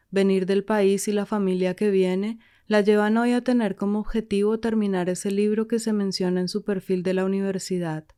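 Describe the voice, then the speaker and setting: A woman narrating a documentary-style podcast in a measured, scripted way. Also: mid-range voice, smooth. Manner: measured, even delivery.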